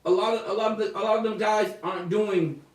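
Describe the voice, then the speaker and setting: High-voiced man in his forties, echoey room. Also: fast, distant mic. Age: 40s. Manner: animated, profane storytelling. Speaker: podcast guest. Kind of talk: casual chat.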